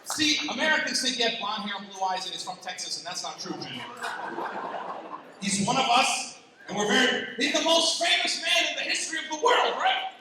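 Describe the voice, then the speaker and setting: A Palestinian man doing a lively stand-up set, teasing the crowd and jumping up in pitch when excited. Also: fairly quick. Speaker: stand-up comedian. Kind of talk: stand-up comedy set at a gala. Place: large banquet hall. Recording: far-off mic in an echoey banquet hall with noise.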